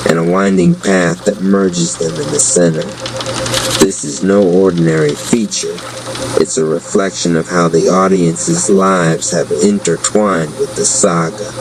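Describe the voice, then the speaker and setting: Slow, deep-voiced male narrator over hiss. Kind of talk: scripted dramatic narration.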